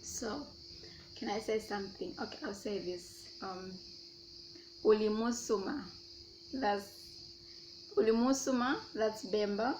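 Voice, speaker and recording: Slow-talking Zambian woman vlogger. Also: mid-range voice, fairly even intonation, a little mellow, slight background noise, rough recording. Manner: chatty, answers her own questions aloud. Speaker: YouTuber. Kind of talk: casual question-and-answer vlog.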